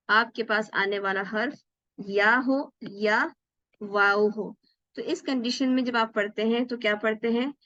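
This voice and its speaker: A woman's voice: fairly high pitch, fairly bright tone, dry recording, muffled recording. A woman teaching a Quran recitation class online, patiently explaining rules and asking rhetorical questions.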